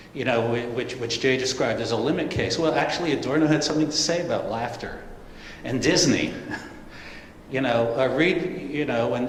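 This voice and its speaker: A man in the audience asking a question from the floor, rambling and hesitant, with many fillers. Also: medium pitch. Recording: echoey hall with long reverb, faint noise.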